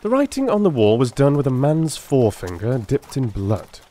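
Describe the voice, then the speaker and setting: A man voicing a brisk, self-assured detective who lectures precisely and emphatically, in a dramatised Victorian audiobook. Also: mid-range voice, sing-song, warm tone, lightly acted. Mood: proud, explanatory.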